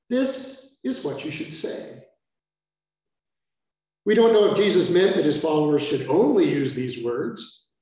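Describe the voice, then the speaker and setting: An older American priest giving an earnest sermon that explains the text step by step, with self-aware asides. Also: male voice, high voice, dark tone. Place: large church sanctuary. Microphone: far camera. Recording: echoey church from far off.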